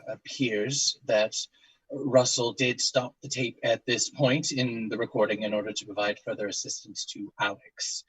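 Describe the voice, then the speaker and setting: Audio drama: male actor for methodical investigator, husky and measured, clinical and formal, close dry sound. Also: fairly low pitch, a bit bright, no echo, low-quality recording. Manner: clinical, measured, hesitant with pauses. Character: formal, methodical paranormal investigator, male, narrating case tapes. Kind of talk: audio drama, scripted case-file narration and interview.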